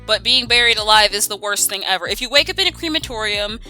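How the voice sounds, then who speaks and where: Very bright, playful young woman hosting. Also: mid-range voice, lively intonation, fast. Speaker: video host reading and answering fan questions. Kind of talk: casual Q and A banter. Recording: music under the voice. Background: background music.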